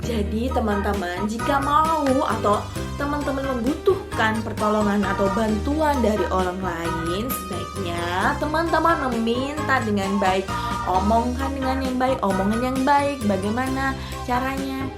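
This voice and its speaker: A woman's voice: on the high side, measured pace, over loud music. A woman storyteller speaking to young children in a motherly, instructive way.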